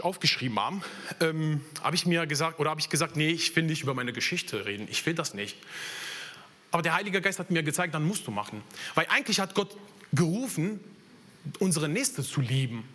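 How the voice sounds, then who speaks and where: A young man from Italy preaches with an Italian accent, earnest and personal. His voice is bright and forceful and swings widely in pitch. It sits a touch higher than most men's. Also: sing-song, fairly quick. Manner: earnest, personal testimony with slips in German grammar. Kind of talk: sermon.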